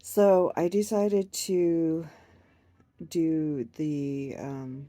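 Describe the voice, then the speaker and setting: A woman chatting casually to camera about her crochet projects, hoarse and stuffed up from a cold, rambling and laughing at herself. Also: low-pitched, fairly even intonation, drawn-out words, dark tone, no room sound. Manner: rambling, self-deprecating with frequent laughs. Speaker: crochet vlogger.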